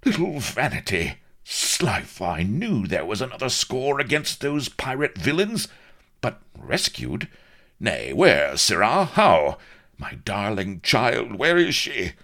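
Roaring, blustering old admiral. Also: male voice, wildly swooping pitch, slow pace, pushed and bright, exaggerated voice. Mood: shocked eagerness. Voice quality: rumbling, roaring. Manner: archaic salty oaths, pompous bluster. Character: huge bellowing old admiral, blustering, hot-tempered.

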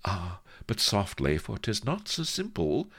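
A man voicing an imperious, seductive female pirate in an audiobook, with a breathy, husky murmur and mock-archaic, coquettish speech. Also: fairly low pitch, big pitch swings, slow, a bit bright, voice pushed up, heavily acted. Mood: coaxing, seductive. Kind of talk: audiobook character voice.

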